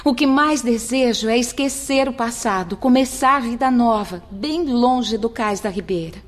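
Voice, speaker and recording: A woman's voice: on the high side, unhurried. A woman playing an earnest, vulnerable young woman longing for a fresh start in a radio drama. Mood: remorseful, pleading. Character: remorseful, hopeful young woman seeking a new life.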